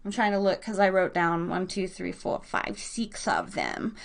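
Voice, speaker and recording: An excitable, bubbly woman chatting casually and slangily as she hosts a live internet radio show. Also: medium pitch, a little slow, gravelly. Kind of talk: casual rambling livestream chat with an online audience.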